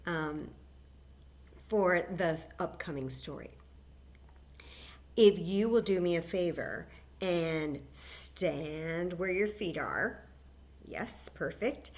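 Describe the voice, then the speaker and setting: Librarian lady doing kids story time, slow and low-ish, soft encouraging chatter. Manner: gentle, encouraging, chatty, singing simple tunes. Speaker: children's librarian.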